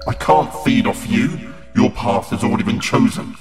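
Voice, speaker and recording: A man's voice: medium pitch, lively intonation, moderate pace, lightly acted. A man playing a cruel, gloating creature of limbo in an audio horror drama, with a menacing, mocking and theatrical manner. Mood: irritated menace. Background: loud dramatic music.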